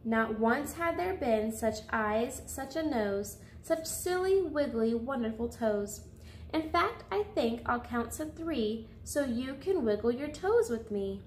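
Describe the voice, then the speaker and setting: A woman's voice: a little high, expressive, takes it slowly, slight background noise. A woman reading a picture book aloud as a children's story-time host, speaking directly to her young listeners.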